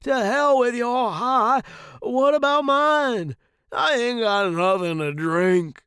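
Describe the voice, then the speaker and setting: A man's voice: very high voice, animated, slow pace, clear, resonant tone, dry recording, clean studio sound, voice pushed up, full character voice. A man voicing a brash, boozy, cantankerous old street performer with slangy, blustering speech, in a story reading. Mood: angry, aggrieved. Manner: slangy, blustering, loud outbursts.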